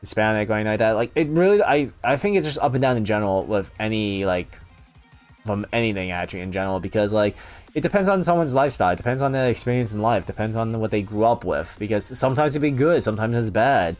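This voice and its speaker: A man's voice: lowish voice, expressive, quick pace, faint music behind. A young man chatting casually with viewers, rambling with lots of fillers and restarts. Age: young adult. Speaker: youtuber answering viewer questions. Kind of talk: casual chat.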